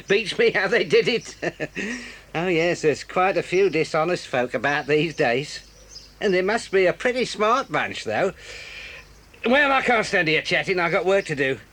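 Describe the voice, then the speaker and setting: A man's voice: sits high, expressive, normal speed, pushed and bright. An actor playing a curt, grudging railway signalman in a radio drama, explaining the points and warning children away. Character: gruff male railway signalman.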